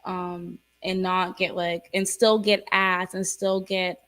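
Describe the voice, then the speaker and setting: A woman's voice: on the low side, little pitch movement, slow, fairly bright tone. A woman in casual conversation, rambling with lots of fillers and restarts. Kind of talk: casual chat.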